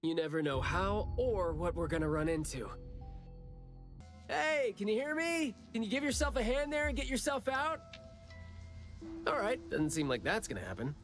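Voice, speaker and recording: A man's voice: sits high, moderate pace, pauses often, soft background music, full character voice. A man playing a playful, wry young investigator in a game cutscene, talking casually and expressively. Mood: animated, playful. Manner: casual, teasing, animated. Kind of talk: game cutscene dialogue acting.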